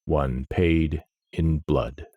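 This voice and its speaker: A man voicing a huge, grim male minotaur warrior who speaks in a low rumbling growl, slow and terse. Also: fairly even intonation, warm tone, no room sound, muffled recording, full character voice.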